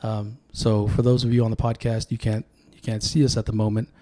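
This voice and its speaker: Mellow-voiced man telling stories. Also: lowish voice, level tone, fairly quick, a little hiss or hum. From Sacramento, California. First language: Tongan. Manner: reflective, storytelling. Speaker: podcast guest, political candidate. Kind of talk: casual podcast conversation.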